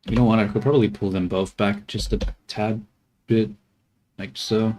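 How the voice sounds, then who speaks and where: A man's voice: fairly low pitch, little pitch movement, moderate pace, a little mellow, slight background noise. A man streaming as he models a 3D character, talking to himself and his chat in a joking, muttering way. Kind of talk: casual live commentary while working.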